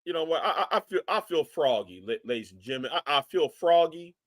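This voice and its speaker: Animated man scolding on a live stream. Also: a little high, fairly quick. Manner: animated, repetitive, mocking, scolding. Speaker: live stream host reacting to a video. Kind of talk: reaction commentary to a live chat.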